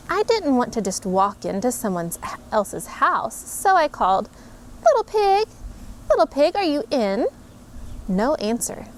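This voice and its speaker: Mom reading a picture book to her kids, high voice, really bouncy and expressive. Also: slight background noise. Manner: animated, expressive reading with playful emphasis. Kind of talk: storybook read-aloud.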